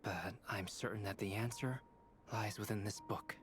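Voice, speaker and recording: A man voicing a calm, analytical young alchemist. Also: on the low side, lightly acted. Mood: thoughtful. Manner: measured, analytical. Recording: game audio with clear music behind.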